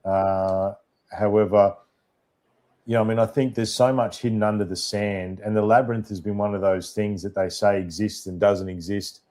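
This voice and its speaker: A man's voice: on the low side, fairly even intonation, normal speed, mellow. An Australian man hosting a casual in-person chat, jokey and matey, rambling between topics. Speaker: podcast host.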